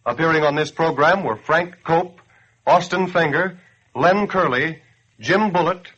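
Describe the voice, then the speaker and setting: Earnest 1940s American radio announcer, husky, higher-pitched man. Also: unhurried, fairly bright tone. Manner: earnest, declamatory, persuasive. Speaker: radio announcer and campaign spokesman. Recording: dull grainy 1940s radio broadcast.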